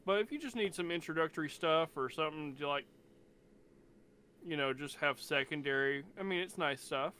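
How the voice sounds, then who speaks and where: A man's voice: a little high, normal speed, bright, forceful tone, slight background noise. A man chatting casually as a podcast panelist, rambling a little as he searches for words. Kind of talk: casual chat.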